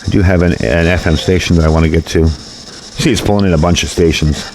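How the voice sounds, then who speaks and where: A man's voice: sits low, steady tone, a little fast, rough recording. A man giving a casual hands-on product demonstration in a folksy, conversational way, often repeating himself. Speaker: radio product reviewer.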